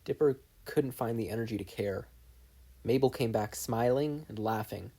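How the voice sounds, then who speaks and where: Mellow-voiced story narrator. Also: mid-range voice, normal speed, breathy edge. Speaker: podfic reader and narrator. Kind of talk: scripted fan fiction narration.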